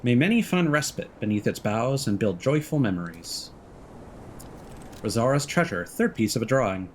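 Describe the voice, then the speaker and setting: A man doing casual let's play commentary with very varied intonation. He is friendly and informal as he talks to viewers, with faint game ambience behind his mid-pitched voice. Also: big pitch swings, normal speed, slight background noise. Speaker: livestreamer playing a video game.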